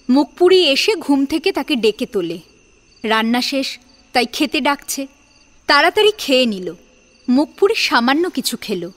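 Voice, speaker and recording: A woman narrating a scripted story in an expressive, dramatic storytelling style. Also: high voice.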